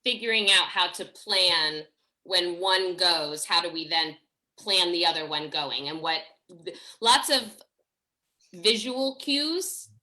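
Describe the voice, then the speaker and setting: A woman's voice: lowish voice, animated, slow, pushed and bright. A woman speaking as a theatre director in a video call discussion, effusive and chatty, often cutting across her own sentences. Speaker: theatre director and fight choreographer.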